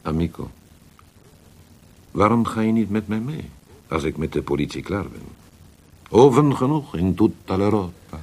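A worldly Italian poet fallen from favour, in a radio play. His very deep voice is gravelly and swings widely in pitch. He speaks with an Italian accent, theatrical and halting, dropping in Italian words as he schemes. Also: very animated, a little mellow, full character voice. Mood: persuasive. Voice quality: gravelly, husky. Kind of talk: radio drama acting.

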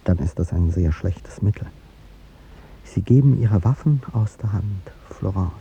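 A man's voice: expressive, measured pace, warm tone, full character voice. An actor playing an aging, courtly president in a 1950s radio drama, speaking formally and hesitantly as he gives up a beloved young woman. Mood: resigned, reproachful. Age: older. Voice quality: deep, gravelly. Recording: muffled vintage radio recording, rumbling hiss.

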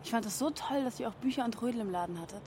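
Actress as a quick-talking young woman, noisy ambience. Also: a little high, lightly acted. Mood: fond, nostalgic. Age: 20s. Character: affectionate, teasing young woman, a delivery driver. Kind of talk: naturalistic radio drama dialogue.

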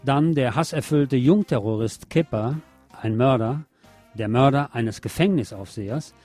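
A man's voice: medium pitch, a little slow, a little mellow, soft background music. A man presenting a scripted film review as a radio host.

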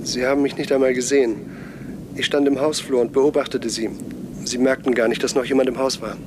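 An actor playing a troubled, resolute scout in a radio play, speaking in a brooding, guarded, insistent way. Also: male voice, mid-range voice, level tone, brisk, lightly acted. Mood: watchful. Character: troubled, resolute male scout reporting from forbidden island. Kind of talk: German radio play acting. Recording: 1970s radio drama, noisy outdoor ambience.

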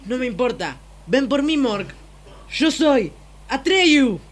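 A man's voice: extremely high pitch, very animated, slow pace, very noisy, full character voice. A man playing a brave, anguished young boy warrior in a radio drama, earnest and defiant. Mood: brave, resolute.

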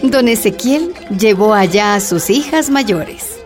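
A woman's voice: mid-range voice, expressive, takes it slowly, music in the background. A woman narrating a radio drama in a scripted storytelling style.

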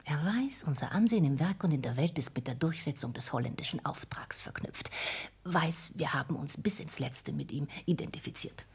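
An actress playing a hard, ambitious, scheming countess in a radio play, with formal, intense stage diction and a breathy, husky voice. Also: female voice, low-pitched, expressive, normal speed, hardly any pauses, a little mellow, slight background noise. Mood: hushed, anxious. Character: scheming, hard, ambitious countess plotting against her dying father. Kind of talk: 1960s German radio play acting.

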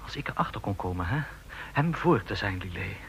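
A man's voice: deep voice, sing-song, brisk, fairly bright tone. An actor playing an ambitious, frustrated junior intelligence man, insistent and pressing, in a radio play. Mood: pleading, insistent. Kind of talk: Dutch radio play acting. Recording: hissy old radio play recording.

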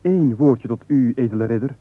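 An actor playing a penniless, wily squire and unstoppable babbler in a comic radio play, with flowery, wordy, mock-deferential speech. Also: male voice, mid-range voice, normal speed, dry recording, close to an everyday voice. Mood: ingratiating, pleading. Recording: muffled narrow-band 1980s radio recording.

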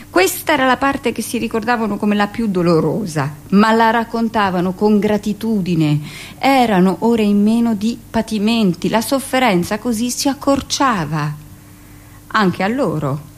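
A woman's voice: fairly low pitch, a little hiss or hum. A woman playing a brusque, gossipy middle-aged hospital midwife who tells her memories in a theatrical, conversational radio monologue. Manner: conversational, dramatic pauses, shifts into mimicked speech. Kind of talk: theatrical first-person monologue, confiding storytelling.

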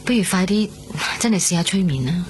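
An actress playing a stubborn but reassuring recovering patient in a radio drama, with a Hong Kong Cantonese accent. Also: female voice, mid-range voice, lively intonation, fairly quick, noisy background, lightly acted. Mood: gently insistent. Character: soft, stubborn, reassuring young woman recovering from surgery.

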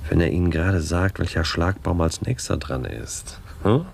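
A sly, probing police inspector in a radio drama. His very low, creaky voice needles and presses, with faint noise behind. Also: animated, moderate pace. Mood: sly, pressuring. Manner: needling, leading questions. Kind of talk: German radio play acting.

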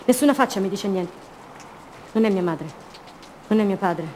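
An actress playing a lonely, wounded woman longing for her love, in an intimate, lyrical radio theatre monologue of short measured phrases. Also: mid-range voice, warm tone, a little hiss or hum, lightly acted, rough recording. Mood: bleak, lost.

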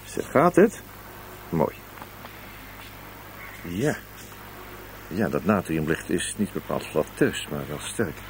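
Sarcastic police detective in an old radio play, voice jumps all over, bit of hiss. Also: male voice, deep voice, big pitch swings, slow pace, long pauses, heavily acted. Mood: dryly ironic. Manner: sardonic, hesitant mutterings and sudden exclamations. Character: wry, sarcastic male police detective, restless and informal. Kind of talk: Dutch radio drama acting. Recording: noisy old radio drama recording.

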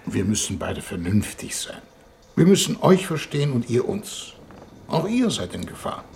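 Self-justifying government envoy: earnest, formal, evasive, with widely swinging intonation and a creaky edge, vintage radio drama. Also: male voice, medium pitch, very animated, normal speed, a little mellow. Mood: reasoning, urgent. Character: uneasy, self-justifying government envoy pleading with an old friend. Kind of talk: 1950s German radio play acting. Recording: faintly noisy 1950s radio recording.

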